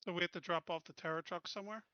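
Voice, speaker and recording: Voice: mid-range voice, steady tone, racing, bright, forceful tone, clear, resonant tone, no room sound. A tabletop game player talking casually and asking questions about the next move. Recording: dry, dull audio.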